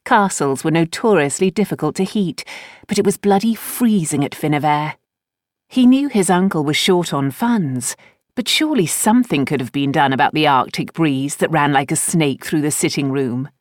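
Low-pitched woman narrating a novel. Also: animated, normal speed, a little mellow, no room sound, clean studio sound. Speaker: audiobook narrator. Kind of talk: scripted fiction narration.

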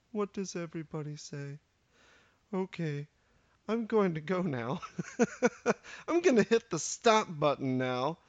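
A man's voice: high-pitched, dry recording, dull audio. A man in his thirties hosting a casual solo podcast intro, rambling and self-deprecating with lots of hesitation. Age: 30s. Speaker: podcast host.

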